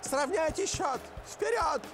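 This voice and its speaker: A man's voice: extremely high pitch, lively intonation, slow, music in the background, full character voice. An actor playing a scheming, bossy older male football coach in a cartoon dub, with a theatrical, commanding delivery. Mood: urgent commanding.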